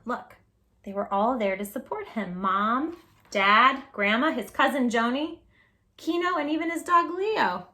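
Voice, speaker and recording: A woman hosting a children's read-aloud, expressive and encouraging, emphasising words and chatting directly to young listeners. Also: a little high. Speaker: storybook reader, channel host.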